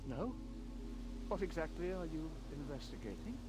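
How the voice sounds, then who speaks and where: Brief: eccentric game hermit, very high swooping voice, nervous, shifty muttering, music behind. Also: male voice, big pitch swings, normal speed. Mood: evasive, nervous. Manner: twitchy, evasive, hesitant muttering. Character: odd, furtive, nervous male hermit who hoards bones. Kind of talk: video game character dialogue. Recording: game dialogue over prominent music.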